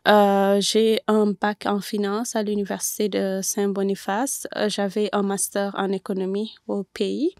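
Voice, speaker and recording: A woman's voice: medium pitch, flat delivery, a little slow, hardly any pauses, darkish tone. Woman, hesitant and careful. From Senegal. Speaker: guest, former student. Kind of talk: conversational interview.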